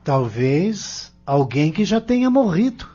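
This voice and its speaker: A man's voice: a little high, very animated, takes it slowly, dark tone. A man playing an earnest, polite teenage boy in an audio soap, speaking hesitantly and respectfully. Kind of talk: audio soap opera acting. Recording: muffled recording over background music.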